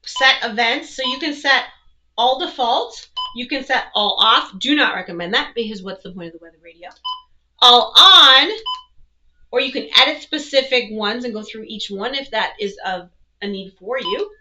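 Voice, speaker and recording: A woman's voice: on the high side, moderate pace, sharp tone, low-quality recording. A woman presenting an instructional product walkthrough, explaining things step by step. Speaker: presenter, weather educator.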